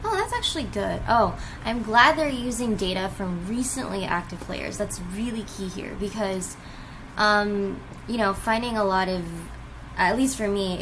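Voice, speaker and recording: Woman, mid-pitched voice, casual reaction commentary, conversational, opinionated, noticeable noise behind her. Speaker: reaction video creator. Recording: noisy room ambience behind voice.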